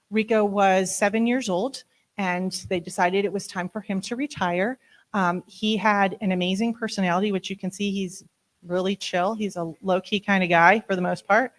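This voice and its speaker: Middle-aged woman, loud and chatty, informal audience talk on a handheld mic, medium pitch. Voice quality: loud speaking voice. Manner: chatty, many "um" fillers. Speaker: dog handler giving a library talk. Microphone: handheld microphone.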